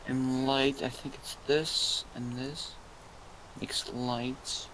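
A man's voice: medium pitch, extremely slow, slight background noise, low-quality recording. A young man chatting casually while playing a game, swearing and laughing often. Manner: excitable, swears, yells and laughs. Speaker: gamer co-host. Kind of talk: casual gameplay chat.

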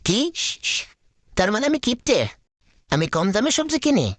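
Hot-headed, miserly husband for a comedy cartoon; argumentative, wildly animated intonation. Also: male voice, very high voice, normal speed, no echo, exaggerated voice. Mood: indignant. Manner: indignant, argumentative, exclaiming. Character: hot-headed, stingy village husband who haggles and snaps at everyone. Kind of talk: comic cartoon acting in Bengali.

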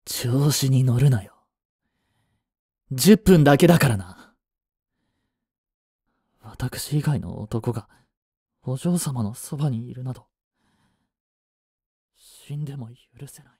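Casting: male actor as a cool young butler, formal, devoted and jealous, dark mellow tone, close dry sound, romantic audio drama. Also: mid-range voice, slow pace, pauses often, slightly rough, no echo. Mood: bitter, jealous. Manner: formal, polite to his mistress, curt to rivals.

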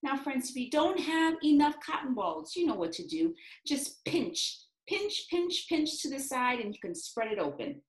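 A woman in her forties teaching young children in an expressive, encouraging, sing-song way, as in a read-aloud and craft lesson. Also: high voice, moderate pace. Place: small indoor home classroom. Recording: roomy, echoey home room sound.

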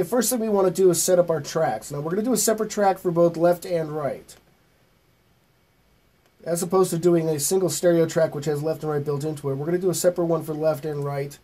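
A man's voice: a little high, mellow. A middle-aged man talking through an informal, step-by-step recording tutorial in a plain-spoken, folksy way. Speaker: host of music recording tutorial. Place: small home studio with acoustic foam.

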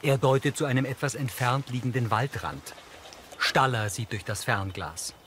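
A man reading scripted audio description in a measured, neutral way. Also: mid-range voice, background noise. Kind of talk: scripted narration. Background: background music.

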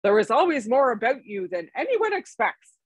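A woman reading a storybook, voicing a wise, approving old man in a measured, knowing manner. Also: fairly high pitch, big pitch swings, breathy edge, no room sound, pitched above the performer's own voice.

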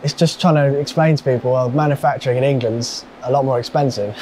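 A man's voice: medium pitch, steady tone, noisy background. A man, a vlogger touring a factory, who chats casually and exclaims readily. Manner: chatty, quick to exclaim. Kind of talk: casual chat. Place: indoor motorcycle factory.

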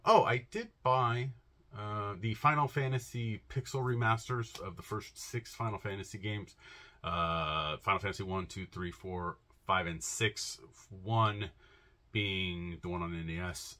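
A man's voice: lowish voice, unhurried, a bit bright. A man in his thirties vlogging casually to camera from a shelf-lined room at home, rambling with lots of fillers and restarts. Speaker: comic shop vlogger.

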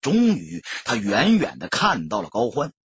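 A man's voice: mid-range voice, wildly swooping pitch, measured pace, husky, no room sound, highs cut off. A man narrating an audiobook as scripted dramatic storytelling, solemn and emotive.

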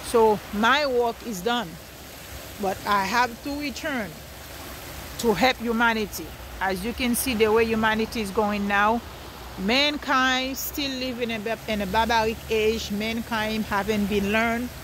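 A woman's voice: fairly high pitch, fairly even intonation, unhurried, background noise. A woman from Haiti with a Haitian accent giving an earnest spiritual talk outdoors by a river at night, repeating her phrases as she preaches.

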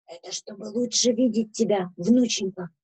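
A sly, hungry storybook wolf acted by a woman wheedles in disguise. The voice is lightly husky and mellow, with quick, coaxing phrases. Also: medium pitch. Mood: sly, menacing. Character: sly hungry male wolf posing as child or granny.

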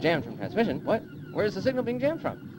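Man as an anxious space prison guard, barking orders, raised pitch, noisy amateur storyboard. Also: wildly swooping pitch, fast talker. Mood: urgent, alarmed.

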